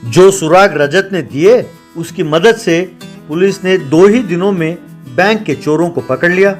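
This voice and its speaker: Higher-voiced man narrating a children's story. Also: a little slow, soft background music.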